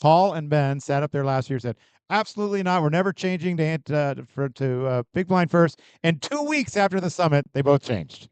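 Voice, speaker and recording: A man moderating a conference panel, talking in a lively way and addressing the crowd. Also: on the high side, fairly quick, clean tone, no echo.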